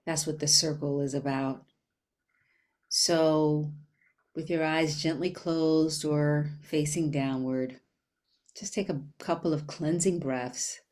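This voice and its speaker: A woman with a low-pitched voice leads a guided meditation. She speaks very slowly and gently, leaving long, unhurried pauses between short phrases. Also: fairly even intonation.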